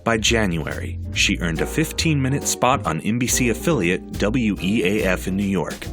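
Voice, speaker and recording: Male narrator, low voice, measured scripted read. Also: expressive, over music. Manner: measured, informative delivery. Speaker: documentary narrator.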